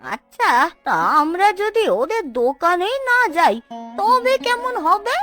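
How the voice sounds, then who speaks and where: A woman's voice: extremely high pitch, sing-song, a little slow, a bit bright, soft background music, heavily acted. A woman voicing an envious, scheming village gossip in a cartoon, tutting and indignant. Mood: spiteful, scheming. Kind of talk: Bengali cartoon dubbing.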